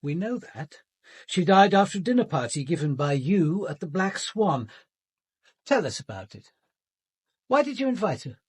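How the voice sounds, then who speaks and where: Composed British detective, slightly husky. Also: male voice, high voice, very animated, darkish tone, no room sound, full character voice. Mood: politely probing. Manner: measured, formal, precise. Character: composed, probing male senior police detective narrating a murder inquiry. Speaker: narrator and lead detective. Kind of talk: radio drama narration and questioning.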